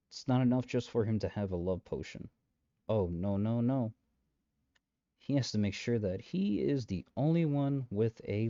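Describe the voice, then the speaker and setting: A lowish, mellow male voice acted by a man plays a chatty, exasperated matchmaker in a comedic audio drama. He speaks directly to the listener in wry, conversational asides full of dry understatement. The recording is close but slightly dull. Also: no echo. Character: exasperated, chatty male matchmaker peddling possessive lovestruck suitors.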